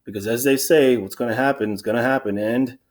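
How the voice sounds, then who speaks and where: A man playing an anxious soldier, halting, wordy and self-excusing, in a dramatic reading of Greek tragedy. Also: mid-range voice, voice pushed up, close to an everyday voice. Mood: nervous rambling.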